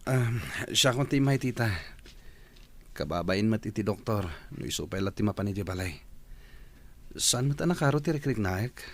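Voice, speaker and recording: A man's voice: lowish voice, animated, moderate pace, long pauses. A man playing an evasive, easygoing young son in a radio comedy drama, breezy and reassuring. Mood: polite, evasive.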